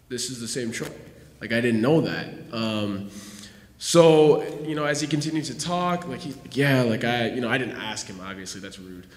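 A young male student telling his side of a story casually, with lots of fillers. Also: lowish voice. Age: young adult. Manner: casual, with many fillers like "you know" and "like". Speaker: student tour guide. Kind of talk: casual testimony. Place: large worship hall. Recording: echoey hall with faint noise.

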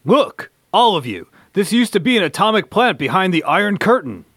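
A man's voice: high voice, very animated, moderate pace, fairly bright tone, no room sound, studio quality, heavily acted. A man playing an earnest, commanding scientist superhero who makes speeches in an amateur comic-book radio play. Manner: speechifying, declamatory.

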